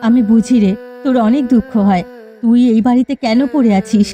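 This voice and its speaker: A woman voicing a caring elder sister-in-law in a village cartoon, coaxing and gently chiding. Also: fairly high pitch, brisk, lightly acted. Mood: sympathetic. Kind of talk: Bengali cartoon fairy-tale acting.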